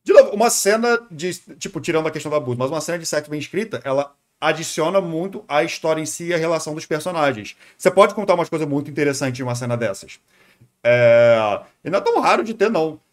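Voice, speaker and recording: A man's voice: fairly high pitch, lively intonation, fast talker. A Brazilian man giving casual, opinionated live reaction commentary as a streamer, thinking aloud conversationally.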